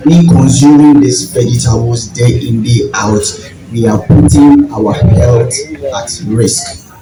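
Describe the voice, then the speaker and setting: A man with a deep voice and a Ghanaian accent gives a public talk, deliberately, in short phrases and questions to the audience. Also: steady tone. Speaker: guest speaker, farming group leader. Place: event venue with audience. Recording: distant, echoey hall with audience noise.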